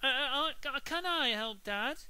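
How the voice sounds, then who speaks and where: A man's voice: very high-pitched, lively intonation, unhurried, pushed and bright, no echo, voice pushed up, heavily acted. A man reading a storybook aloud, voicing a prim, whiny goody-goody little boy who tattles and fusses. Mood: prissy. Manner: prissy, tattling.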